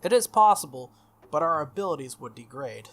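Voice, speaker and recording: A man's voice: on the high side, expressive. Man reading as a formal, earnest female knight. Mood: firm, explanatory. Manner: stiff, formal, measured. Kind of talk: visual novel character read aloud.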